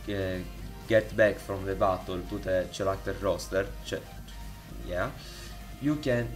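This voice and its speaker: A man with an Italian accent, speaking English as a second language, walks through a modding tutorial in a halting way, with many fillers and restarts, recorded on a desktop microphone. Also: lowish voice. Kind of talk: casual screen-recorded tutorial. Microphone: desktop USB microphone.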